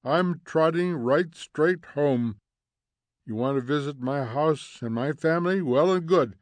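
A man voicing an indignant middle-aged housewife who scolds and accuses loudly. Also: a little high, measured pace, no echo, voice pushed up, lightly acted. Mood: huffy.

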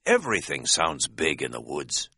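Weary male hiker, done by a male narrator: deadpan, dismissive, unflappable, dry studio audiobook dialogue. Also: a bass voice, normal speed, vocal fry, breathy edge, no room sound, voice pushed down, heavily acted.

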